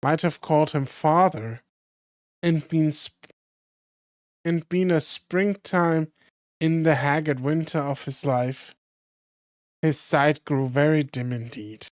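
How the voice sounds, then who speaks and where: A hoarse streamer reading a classic novel aloud, slowly and carefully, with small slips. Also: mid-range voice, fairly even intonation, darkish tone, no room sound, studio quality. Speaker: streamer and reader.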